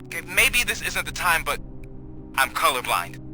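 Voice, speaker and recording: A man's voice: a little high, very animated, normal speed, noisy background. An actor playing an earnest, nervous rookie policeman in a video game, eager, flustered and hesitant. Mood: nervous, focused.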